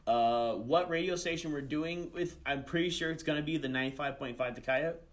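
A young man answers interview questions in a casual, conversational way. His delivery is halting, with phrases begun again and corrected as he goes. He speaks as a student director and voice actor being interviewed. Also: on the high side. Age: young adult.

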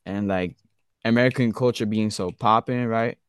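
Laid-back young man, low smooth voice. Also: steady tone, normal speed, dry recording, clean studio sound. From Switzerland. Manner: laid-back slang, says "bro" often. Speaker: guest artist. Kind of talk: casual interview chat.

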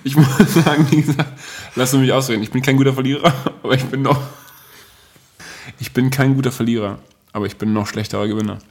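A man playing an awkward, sheepish young card duelist in an improvised comedy sketch, speaking haltingly. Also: medium pitch, fairly even intonation, a little mellow, lightly acted. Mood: awkward, defensive.

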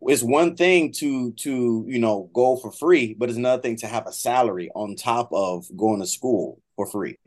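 American man, halting interview talk. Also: medium pitch, a little mellow. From Miami, United States. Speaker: guest, trombone professor. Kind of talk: conversational interview.

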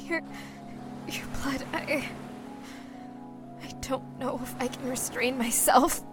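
Very high, slow, lovelorn young mermaid. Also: female voice, over music. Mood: anguished. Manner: halting, breathy, pleading. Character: lovelorn, anguished young female man-eating mermaid, fragile and yearning. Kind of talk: audio drama acting.

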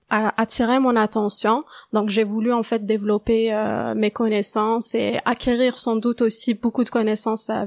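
Woman in her twenties, fairly high voice, dry close sound, interview guest. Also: dry recording. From Algeria. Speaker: podcast guest, international student. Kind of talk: interview answers, conversational.

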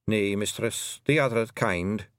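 A man voicing a gruff, warlike little fairy chieftain with a thick Scottish brogue, slow and ominous. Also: fairly low pitch, fairly bright tone, dry recording, clean studio sound. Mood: grim.

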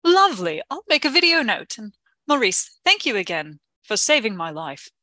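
Woman playing a flustered, genteel professor, bright and animated. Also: a little high, normal speed, no echo. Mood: grateful. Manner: genteel, fretful, hesitant. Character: flustered, polite, earnest female professor. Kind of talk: melodramatic radio drama acting.

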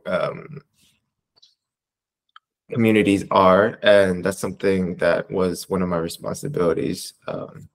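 Low-voiced, halting young American man. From Southern California, United States. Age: 20s. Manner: hesitant, halting, pausing often between short phrases. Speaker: interviewee, community advocate. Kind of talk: casual interview answers.

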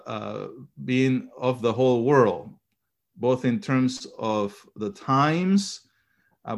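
A man in his fifties, a seminary professor raised in Chile and Panama, who speaks English. He gives an earnest reflection to a church gathering and pauses often on filler sounds. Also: medium pitch. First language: Spanish.

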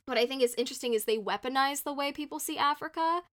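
A young woman from North India with a fairly high voice, talking through a film in casual chat. She agrees readily and slips into playful acted-out quotes. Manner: animated, quick to agree, acts out quotes in a playful voice.

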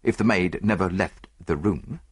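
Male reader as a businesslike inspector, husky. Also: low voice, normal speed. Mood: brisk. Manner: impatient, businesslike. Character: brisk grave male police inspector.

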